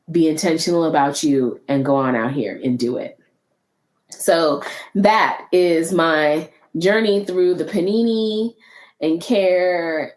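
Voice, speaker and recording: Low-voiced congested woman, earnest podcast host. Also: a little mellow, roomy sound. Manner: earnest, encouraging, repeats herself. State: congested, nasal. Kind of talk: solo motivational podcast talk.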